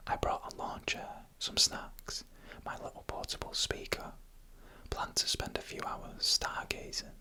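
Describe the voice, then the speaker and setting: ASMR horror storyteller: fully whispered, bright sibilant breath, hushed deliberate delivery, close-miked for headphones. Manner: hushed, deliberate, short phrases with pauses. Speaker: ASMR narrator. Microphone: close microphone made for headphone listening.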